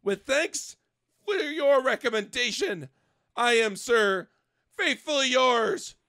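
A man plays a pompous, unhinged gentleman detective in a comic storybook reading. The voice is very high and creaky, leaping about with bombastic, foul-mouthed demands and bursts of shouting. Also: lively intonation, takes it slowly, no echo, clean studio sound, full character voice. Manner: theatrical, bombastic, swings into shouting.

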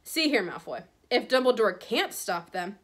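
A woman's voice: medium pitch, sing-song, moderate pace, fairly bright tone. A woman voicing a flustered, anxious male government minister in a storybook, speaking in clipped, nervous fragments.

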